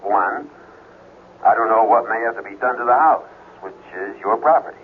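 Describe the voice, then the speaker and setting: Actor as a deep-voiced, determined ghost hunter. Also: male voice, natural voice. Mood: urgent, firm. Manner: measured, earnest, foreboding. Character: grave, determined male ghost hunter, steady under pressure. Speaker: radio drama actor. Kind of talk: 1970s radio drama acting. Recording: noisy, muffled old radio broadcast.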